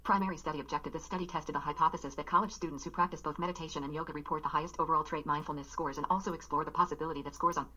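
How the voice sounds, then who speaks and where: A woman's voice: sits low, fairly even intonation, racing, few pauses, sharp tone, breathy edge, muffled recording. A synthetic woman's voice reading academic text aloud in an impersonal, formal manner. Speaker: text-to-speech article reader.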